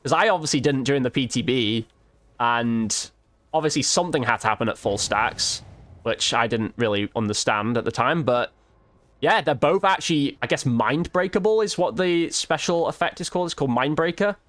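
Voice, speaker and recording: A man's voice: mid-range voice, animated, moderate pace, fairly bright tone. A man giving casual gameplay commentary, informally thinking aloud as he plays. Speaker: gaming video creator.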